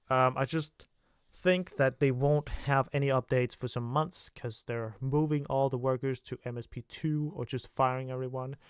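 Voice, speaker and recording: Smooth-voiced young man rambling on a livestream. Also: mid-range voice, no room sound, clean studio sound. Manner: rambling, hesitant, many filler sounds. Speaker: livestream host. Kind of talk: casual livestream chat.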